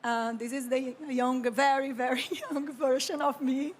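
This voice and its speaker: A Brazilian woman in her thirties, speaking English with a Brazilian Portuguese accent, gives a slow, reflective conference talk as an architect and urban planner, often clearing her throat. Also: high-pitched, fairly even intonation. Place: conference stage.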